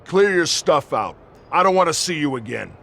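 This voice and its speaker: A man's voice: on the high side, sing-song, moderate pace, vocal fry, sharp tone, heavily acted. A man playing a scornful, commanding veteran wrestler who leads a faction in a video game. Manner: commanding, cutting. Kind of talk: video game cutscene acting.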